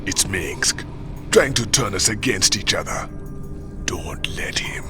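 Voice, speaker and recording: An actor playing a sly, menacing psychic assassin and pirate in a video game cutscene, with a cool, taunting manner and a breathy growl. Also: male voice, sits low, expressive, whispered, music in the background. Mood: guarded, defiant. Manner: cool, taunting, drawn-out familiar address.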